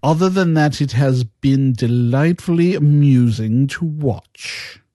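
A man playing a coldly formal, dryly amused master of the dark side in an audio drama. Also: mid-range voice, dry recording, studio quality, natural voice. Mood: wry amusement. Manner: measured, formal, wry. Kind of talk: science-fiction audio drama acting.

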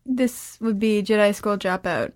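A woman's voice: mid-range voice, a little slow, darkish tone. A teenage girl chatting casually, rambling and interrupting herself with dry humor. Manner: rambling, self-interrupting, dryly joking. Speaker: young podcast creator and guest. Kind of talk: casual chat.

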